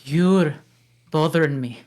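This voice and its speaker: Woman voicing a grumpy male ogre, low voice, sarcastic, gruff, table read. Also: slow, a little mellow. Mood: impatient. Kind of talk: table read of a film script.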